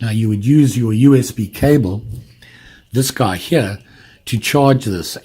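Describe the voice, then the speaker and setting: A man's voice: on the low side, dark tone, husky. A man giving a casual hands-on product demonstration, informally explaining step by step as he handles the device. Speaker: product reviewer.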